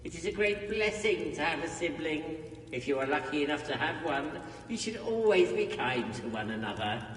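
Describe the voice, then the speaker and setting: A man's voice: on the high side, lively intonation, moderate pace, close to an everyday voice. A man voicing a mysterious spirit in a game, wry and theatrical with grand politeness, in a distant echoing recording. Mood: wry, reflective. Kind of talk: scripted game dialogue. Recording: echoing hall reverb, distant, faint noise.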